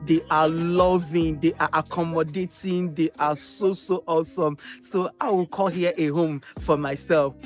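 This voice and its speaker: A woman's voice: low voice, steady tone, a little slow, few pauses, over music. A woman from Nigeria, a graduate student, speaking with gushing enthusiasm and many fillers. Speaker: master's student interviewee. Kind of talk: casual on-camera answers.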